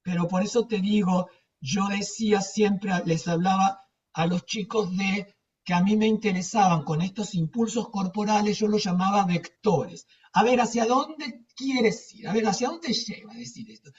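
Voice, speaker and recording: A woman's voice: mid-range voice, moderate pace, a little mellow. An older woman with an Argentine accent, telling anecdotes casually in a rambling, emphatic way with repetitions. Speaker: theatre teacher. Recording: distant, dull muffled microphone.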